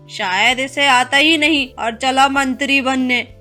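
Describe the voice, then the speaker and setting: A woman voicing a cheeky, teasing jungle animal in an animated fable. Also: sits high, takes it slowly, soft background music, heavily acted. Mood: mocking. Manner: brash, teasing.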